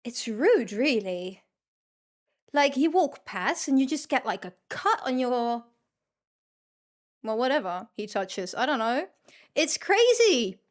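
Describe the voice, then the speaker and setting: A woman's voice: on the high side, sing-song, takes it slowly, long pauses. A woman with a Dutch accent chatting casually as she livestreams a game, with a blunt, sweary, teasing manner. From Netherlands. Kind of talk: casual chat while gaming.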